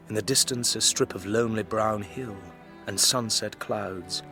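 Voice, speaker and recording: A man playing an earnest, courteous young Victorian gentleman with an English accent, in a period radio drama. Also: medium pitch, lightly acted. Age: young adult. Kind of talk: period drama acting with measured first-person narration.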